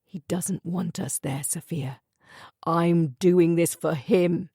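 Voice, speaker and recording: A woman's voice: on the low side, slow pace, creaky, warm tone, breathy edge, no echo, clean studio sound, full character voice. A woman reading an audiobook, voicing a grieving, stubborn man who speaks in tight, clipped phrases. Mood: firm. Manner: tight, clipped, holding back emotion.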